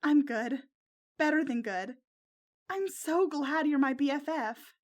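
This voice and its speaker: Lady doing high soft teen girl, anxious and sweet-hearted, emotional audio story character. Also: expressive, takes it slowly. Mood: relieved. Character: anxious, tender-hearted teenage girl hiding a superhero secret.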